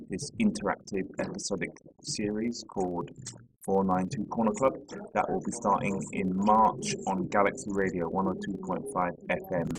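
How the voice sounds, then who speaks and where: A man speaking as a creator and producer, giving an informal introduction and promo. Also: on the low side. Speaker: radio drama creator and producer. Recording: clearly noisy location recording.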